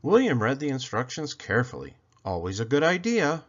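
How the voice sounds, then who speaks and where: Animated male storybook reader. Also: medium pitch, fairly quick, dull audio. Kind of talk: expressive children's read-aloud narration.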